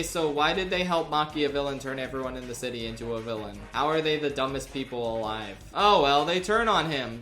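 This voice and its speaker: A man's voice: fairly high pitch, pushed and bright. A young man narrating a comedic review with sarcastic, exasperated asides. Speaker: video reviewer. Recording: roomy echo.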